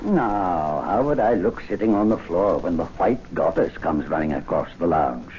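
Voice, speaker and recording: An actor playing a haughty, sarcastic club gentleman with an affected, mocking manner in an old radio drama. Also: sits low, expressive, normal speed. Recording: dull, faintly noisy radio recording.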